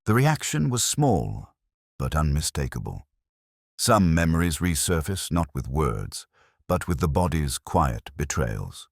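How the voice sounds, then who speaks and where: A man narrating a scripted first-person detective story in a measured, brooding, literary manner. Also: a bass voice, very animated, gravelly, no room sound, studio quality.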